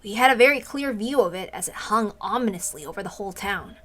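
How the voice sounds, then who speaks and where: A woman's voice: medium pitch, lively intonation, quick pace, a bit bright. A woman streamer reading a story aloud on a livestream, slipping into casual asides.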